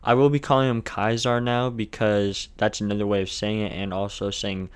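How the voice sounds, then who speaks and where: A man with a fairly low voice and a lisp narrates his gameplay. He keeps it casual and scripted, with crude, profane jokes mixed into the recap. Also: little pitch movement, normal speed, smooth. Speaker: gaming video narrator.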